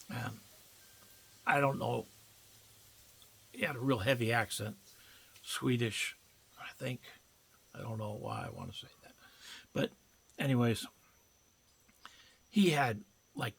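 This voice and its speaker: A man's voice: mid-range voice, vocal fry, husky. An older man telling personal stories in a casual, folksy, rambling way with colloquial phrasing. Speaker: storyteller recounting personal memories. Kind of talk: casual reminiscing monologue.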